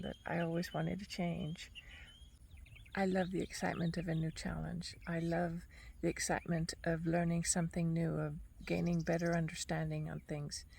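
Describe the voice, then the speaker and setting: Woman, low gravelly voice, reflective solo talk, recorded outside with a faint hiss. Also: a little mellow. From Argentina. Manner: thoughtful, unhurried, reflective. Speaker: podcast host. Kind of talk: reflective personal monologue. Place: outdoors on a bench.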